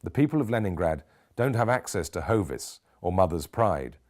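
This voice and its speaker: A man's voice: sits low, very animated, normal speed, darkish tone. A man with a British accent voicing a middle-aged academic lecturing in an emphatic, rhetorical way for an audiobook. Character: forty-three-year-old academic man lecturing, theatrical and wry.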